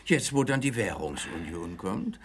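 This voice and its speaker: A guileless East German on the run in a comic radio play. The voice is on the deep side but very lively, swinging up and down. He explains and protests eagerly. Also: unhurried, a little hiss or hum, full character voice. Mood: eager, scheming. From East Germany, Dresden (role). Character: naive, excitable East German man on the run. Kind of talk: radio crime comedy acting.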